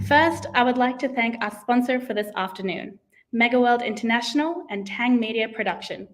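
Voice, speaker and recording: A young woman hosting an online pageant livestream, with an upbeat, formal presenter manner. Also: on the high side. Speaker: host. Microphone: video call.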